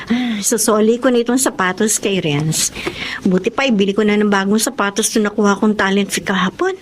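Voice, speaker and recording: A woman playing a young, hardworking and devout older sister in a Tagalog radio drama, speaking in an earnest, expressive everyday way with a Filipino accent. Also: mid-range voice, brisk, over music, natural voice. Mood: earnest, prayerful. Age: young adult. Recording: faint background noise.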